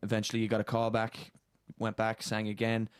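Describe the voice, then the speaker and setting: A man's voice: on the low side, completely flat delivery, a little fast, clear, resonant tone, dry recording, studio quality. A man in his early twenties with an Irish accent, chatting casually as an interview guest. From Ireland. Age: early 20s. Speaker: boy band singer, interview guest. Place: radio studio. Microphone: studio microphone.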